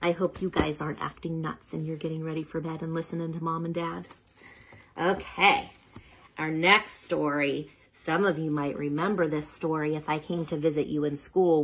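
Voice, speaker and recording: A woman reading picture books aloud to young children, playful and dramatic, pausing for chatty asides and questions to the listener. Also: sits low, fairly even intonation, a bit bright, slightly rough, poor audio.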